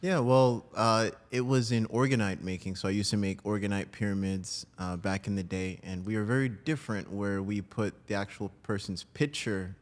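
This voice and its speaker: A man's voice: on the low side, fairly even intonation, moderate pace, hardly any pauses. A man from New Jersey talking casually as an interview guest about his own work. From New Jersey, United States. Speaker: podcast guest, breathwork coach.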